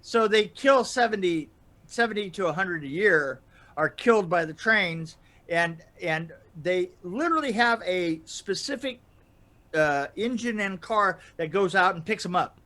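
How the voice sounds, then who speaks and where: An older man telling anecdotes casually in a rambling, halting way, chuckling as he goes. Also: high-pitched, lively intonation, pushed and bright. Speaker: podcast storyteller guest. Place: indoor room on a video call.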